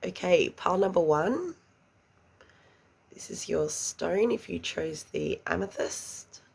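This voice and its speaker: A woman's voice: on the low side, expressive, takes it slowly, vocal fry, a little mellow, slightly rough. A woman giving a pick-a-card tarot reading, chatty and a little congested, murmuring questions to spirit under her breath. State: congested after an illness. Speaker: tarot reader.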